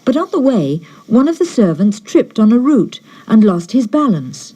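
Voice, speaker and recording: A woman narrating a fairy tale as an audiobook reader in an expressive storytelling style. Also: fairly high pitch, normal speed, breathy edge.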